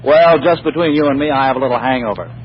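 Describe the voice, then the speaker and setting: A man's voice: medium pitch, quick pace, lightly acted. An actor playing a cocky, scheming playboy turned businessman, glib and exclaiming, in 1940s radio comedy style. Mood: jaunty. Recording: dull, noisy 1940s radio recording.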